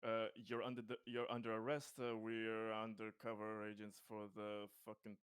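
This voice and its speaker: A man casually playing a tabletop game, haltingly narrating what his character says and does. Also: fairly low pitch, monotone, clean tone, dry recording, clean studio sound.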